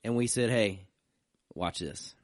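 A man co-hosting a casual podcast in a live stream room, joking and telling quick stories. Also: on the low side, no room sound, clean studio sound. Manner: jokey, storytelling, quick asides. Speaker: podcast co-host.

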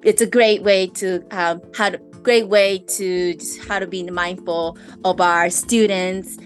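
A woman podcast host with a slight Japanese accent, a native Japanese speaker, talking in a chatty, expressive way. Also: lowish voice, a little slow, soft background music. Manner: warm, chatty, expressive with fillers and laughs. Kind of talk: casual podcast interview.

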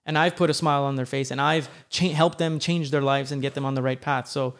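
A man's voice: medium pitch, fairly even intonation, brisk. A man talking as a podcast guest in casual chat, emphatic and upbeat, swearing freely.

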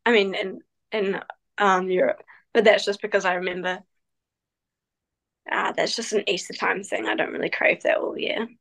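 A woman, speaking as a guest on a casual interview. Her pitch sits mid-range. She answers in a relaxed but halting way, full of fillers and restarts. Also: creaky. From New Zealand. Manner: hesitant, many fillers, relaxed. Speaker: guest, professional cyclist.

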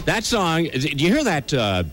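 A man's voice: a little high, sing-song, measured pace, fairly bright tone. A middle-aged man hosting live morning radio with jovial, joking banter. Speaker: radio host.

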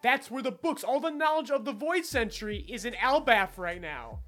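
A woman with a somewhat high voice chats as a podcast co-host over faint music. She is emphatic and playful, jumps in often and swears freely. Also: animated, moderate pace, fairly bright tone. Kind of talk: casual fan discussion.

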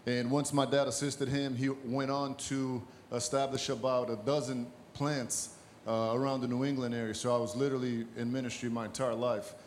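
A man's voice: medium pitch, little pitch movement, normal speed. A middle-aged man from Brazil, casual and folksy, giving an informal testimony to a congregation as a guest church planter. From born in Brazil, raised in the US. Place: church stage. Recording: background noise in live church.

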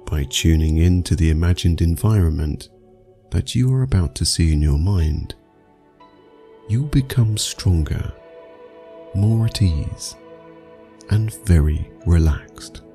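A man narrating a scripted guided meditation for sleep, speaking soothingly and in measured phrases with long pauses. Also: sits low, expressive, warm tone, faint music behind.

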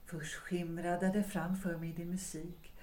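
An actress playing an anguished, yearning unhappy wife in a period audio drama, speaking fervently with dramatic pauses. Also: female voice, fairly low pitch, flat delivery, darkish tone, a little hiss or hum, natural voice. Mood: tender, confiding. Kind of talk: period stage-play audio drama acting. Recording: roomy echo.

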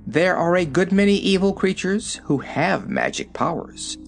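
A man voicing a wise old male gnome general who speaks calmly and lectures his king. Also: on the high side, voice pushed up. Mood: scheming. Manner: calm, coolly lecturing.

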